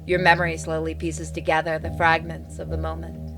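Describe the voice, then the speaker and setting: A woman giving dramatic scripted narration for a horror game, pausing for effect between phrases. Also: low voice, completely flat delivery, music in the background. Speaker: gaming channel narrator.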